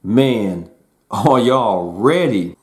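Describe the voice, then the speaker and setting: A man's voice: fairly low pitch, animated, drawn-out words, darkish tone. A man giving a casual spoken music review in a laid-back, slangy way, peppering his talk with "man" and "um". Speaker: album reviewer.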